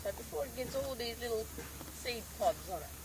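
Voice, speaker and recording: A slightly hoarse Australian woman with a higher-pitched voice, speaking as a park ranger on an outdoor guided walk. Her delivery is unhurried and explanatory, full of ums and restarted sentences. The recording was made outdoors in the bush, with clear natural sound running behind her. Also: lively intonation, noisy background. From northern Australia.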